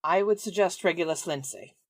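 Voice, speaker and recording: Blunt, calm tabletop adventurer played by a woman, deadpan, slightly low voice, dry recording. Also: a little fast, dry recording. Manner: deadpan, matter-of-fact.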